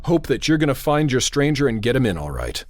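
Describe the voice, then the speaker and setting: A man acting as a grave, steady radio operator in an old-time radio drama. He speaks with deliberate authority, every instruction precise, in a mid-pitched voice. Faint music sits behind him. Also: close to an everyday voice. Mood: tense, brooding. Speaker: narrator and radio operator character.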